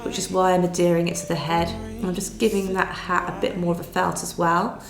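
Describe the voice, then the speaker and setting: A woman, nasal and croaky from a cold, patiently talking through a needle felting tutorial step by step. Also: on the low side, little pitch movement, a little fast. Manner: patient step-by-step explaining with fillers. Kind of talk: craft tutorial voice-over. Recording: roomy sound with soft music behind.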